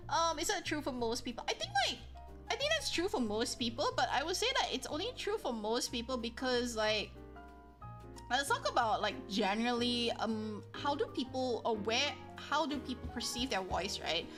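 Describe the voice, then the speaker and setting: A woman with a fairly high voice chats loosely with her stream, filling her sentences with likes and ums and poking fun at herself. Soft music plays underneath. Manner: rambling, lots of likes and ums, self-deprecating. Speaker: livestreamer reading chat. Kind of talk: casual chat with viewers. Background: soft background music.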